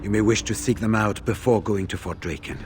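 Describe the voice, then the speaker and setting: A man acting a calm, serious veteran warrior in a video game, laying out orders in a measured, matter-of-fact way. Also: on the low side, soft background music, close to an everyday voice. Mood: serious, urgent.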